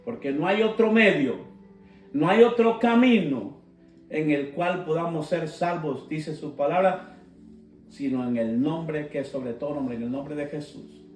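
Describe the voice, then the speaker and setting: A man preaching a sermon. He speaks with slow emphasis and breaks into fervent shouted calls. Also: fairly high pitch. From Guatemala. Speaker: pastor. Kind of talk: live-streamed sermon. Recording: roomy sound, mic at a distance, soft guitar.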